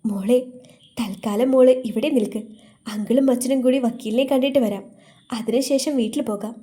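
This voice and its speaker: A woman voicing a steady middle-aged male family friend who speaks in a firm, reassuring way. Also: fairly high pitch, moderate pace, lightly acted. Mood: calm.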